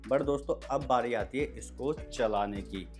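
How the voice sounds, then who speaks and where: A man's voice: mid-range voice, a little slow, faint music behind. A man giving a casual, step-by-step product review, addressing viewers as friends. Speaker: product reviewer and video host.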